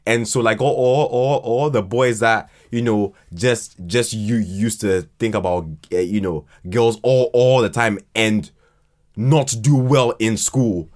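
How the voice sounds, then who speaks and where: A man with a fairly low voice speaks casually as an interview guest. He thinks aloud, stumbling over and repeating words before landing his point. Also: slow pace, darkish tone. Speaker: podcast guest, fiction podcast writer.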